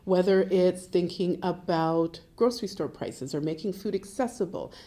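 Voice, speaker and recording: A woman's voice: lowish voice, moderate pace, mellow. A woman home economist giving an emphatic interview answer that runs through a list.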